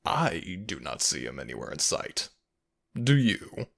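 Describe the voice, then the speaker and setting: A man acts out a sadistic male fox-spirit villain for an ASMR roleplay. The voice is very deep and gravelly, drawing out each taunt at a slow pace. The delivery is theatrical and mocking, full of menace. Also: big pitch swings, heavily acted. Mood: silky taunting. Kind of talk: ASMR audio roleplay acting.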